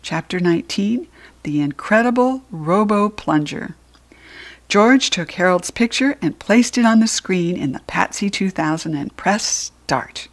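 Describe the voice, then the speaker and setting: An American woman reading a children's story aloud in an expressive, playful and informal way, as a narrator. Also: medium pitch, measured pace.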